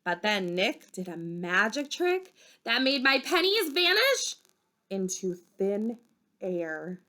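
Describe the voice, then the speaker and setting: A woman reading a children's story aloud expressively, with dramatic pauses and emphasis. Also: mid-range voice, big pitch swings, bright, forceful tone. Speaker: storybook reader.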